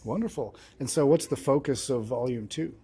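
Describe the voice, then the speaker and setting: A man's voice: medium pitch, moderate pace, dark tone, husky. A man working as an interviewer, casually asking questions in an upbeat, appreciative manner.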